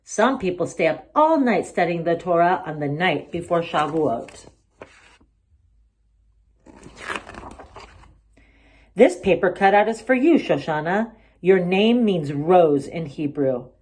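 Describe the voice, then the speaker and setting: A woman voicing an affectionate elderly grandmother in a storybook, speaking gently as she teaches and encourages. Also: on the low side, expressive, measured pace. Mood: explaining. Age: older.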